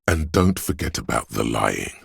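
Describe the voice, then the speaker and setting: Very deep, gravelly inner voice. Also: male voice, very animated, normal speed, no echo, studio quality, heavily acted. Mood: brooding, compassionate. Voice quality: gravelly, husky. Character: empathy, a grave compassionate inner voice, male. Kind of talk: scripted game narration, literary and brooding.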